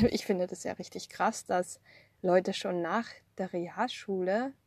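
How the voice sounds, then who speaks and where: A woman's voice: on the low side, steady tone, slow pace, a little mellow, slightly rough, no echo. A woman in her thirties from Swabia, talking off the cuff in a personal podcast, rambling with lots of fillers, recorded in a car. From Swabia, Germany. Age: 30s. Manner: rambling, with many fillers and laughs. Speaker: podcast host. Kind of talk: unscripted personal podcast monologue.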